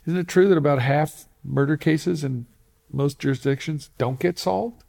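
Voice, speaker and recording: A man's voice: on the high side, normal speed, gravelly, darkish tone. A man hosting an interview, asking curious follow-up questions in short prompts. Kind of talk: casual interview.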